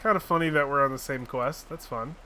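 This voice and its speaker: A man's voice: mid-range voice, a little fast, sharp tone. A man streaming a game, chatting casually with goofy, laughing asides. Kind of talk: casual gameplay commentary.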